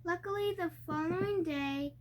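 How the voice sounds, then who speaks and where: A young child reading a storybook aloud, haltingly and word by word, stumbling over harder words. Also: very high voice, slight background noise.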